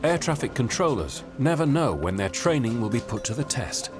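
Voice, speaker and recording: A man reading scripted documentary narration in a clear, measured way. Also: mid-range voice, very animated, over music.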